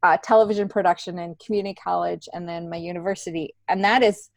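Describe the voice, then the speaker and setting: A middle-aged woman talking casually and candidly as an interview guest, telling stories in an animated way and acting out bits of dialogue. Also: lowish voice, fast, vocal fry, no echo. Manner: animated storytelling, acts out remembered dialogue. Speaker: comedian and TV worker, podcast guest.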